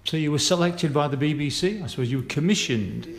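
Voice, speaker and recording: Gravelly-voiced radio presenter. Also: male voice, fairly high pitch, fast. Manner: friendly, curious questioning. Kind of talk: radio interview.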